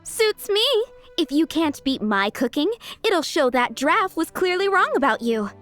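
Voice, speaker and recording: A woman's voice: high voice, big pitch swings, measured pace, fairly bright tone, faint music behind, heavily acted. A woman voicing a proud, blunt, competitive young woman chef in a video game, assertive and emphatic. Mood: competitive, smug. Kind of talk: animated game voice acting.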